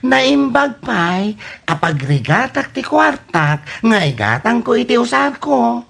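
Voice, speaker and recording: Performer playing sassy Ilocano gossip, slightly husky woman. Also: a little high, big pitch swings, slow, fairly bright tone, full character voice. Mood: sassy, boastful. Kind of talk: Ilocano radio comedy drama acting.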